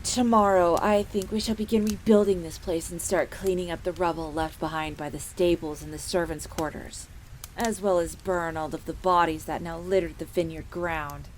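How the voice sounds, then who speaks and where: A woman voicing a suave, commanding male vampire master in an audio drama, speaking in a measured, formal, indulgent manner. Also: lowish voice, fairly even intonation, voice pushed down, close to an everyday voice. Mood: musing, assured. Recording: faint crackling fire noise behind voice.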